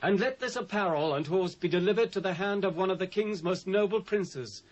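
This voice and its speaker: British guy doing a vain ambitious courtier, high voice, grand and declamatory, audio drama, dull old tape sound. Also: fairly quick, close to an everyday voice. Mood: self-important.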